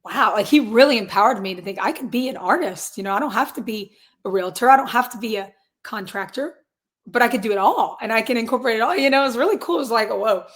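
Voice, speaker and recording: A woman in her twenties with a raspy, hoarse voice, chatting casually as a guest musician from a closet at her grandparents' house. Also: a little high, quick pace. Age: 20s. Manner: upbeat, laughs often, many fillers. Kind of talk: casual interview chat.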